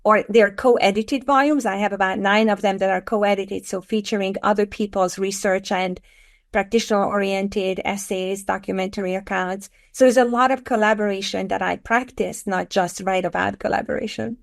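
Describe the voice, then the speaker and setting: A woman's voice: mid-range voice, fairly even intonation, creaky. Hungarian accent lady on a podcast, earnest, careful, middle-aged. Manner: earnest, measured explanations. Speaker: guest, education author. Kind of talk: podcast interview.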